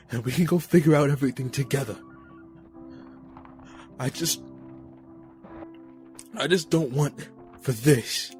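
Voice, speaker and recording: A man's voice: medium pitch, a little mellow, lightly acted. An actor playing an earnest, hesitant, devoted man in love in an intimate audio drama.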